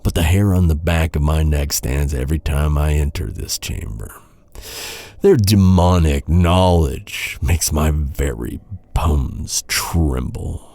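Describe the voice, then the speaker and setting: An actor playing a bitter, sardonic knight who taunts a royal captive with mock courtesy in a dark fantasy audio roleplay. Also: male voice, rumbling low, lively intonation, creaky, dry recording, full character voice. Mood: uneasy, taunting. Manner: sardonic, mock-courteous, brooding, with sighs and pauses.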